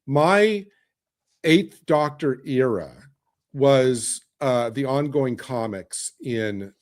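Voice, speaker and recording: A man from the United States with an American accent, chatting casually as a podcast panelist in a halting, emphatic way. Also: mid-range voice. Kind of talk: casual chat, personal recollection.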